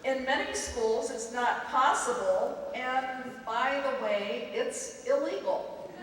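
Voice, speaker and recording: A woman giving a prepared speech to an audience, in a measured and deliberate way. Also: sits high, animated, very noisy. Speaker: event speaker. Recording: far-off in an echoey hall with a crowd.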